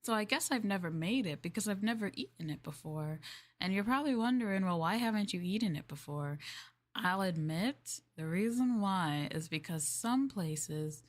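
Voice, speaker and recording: A young woman streamer in a maid roleplay, chatting in a polite, formal way, giggling and dropping into soft asides. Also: medium pitch, animated, normal speed. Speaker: VTuber streamer.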